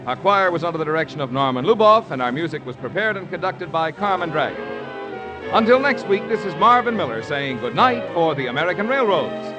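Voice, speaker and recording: A man's voice: a little high, expressive, a little fast. A man reading scripted 1950s radio announcements as the show's announcer, on a vintage broadcast recording. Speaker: radio announcer and sponsor spokesman. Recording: vintage radio broadcast, some music behind.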